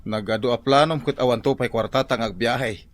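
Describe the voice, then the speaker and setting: A man's voice: medium pitch, brisk, close to an everyday voice. A man playing a lazy, grumbling, hard-drinking husband with an Ilocano accent in a radio comedy drama. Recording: music behind the voice.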